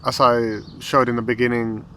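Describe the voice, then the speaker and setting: A man with a Finnish accent, a language teacher calmly explaining an app as he tries it, thinking aloud with pauses. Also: mid-range voice, a little hiss or hum. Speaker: language teacher and channel host. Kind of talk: explaining an app while trying it.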